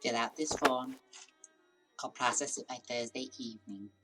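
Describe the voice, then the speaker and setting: Woman acting really low voiced clerk lady, curt, radio drama, loud music over her. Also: fairly even intonation, moderate pace. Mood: curt, businesslike. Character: curt, businesslike female clerk. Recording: distant, loud music behind.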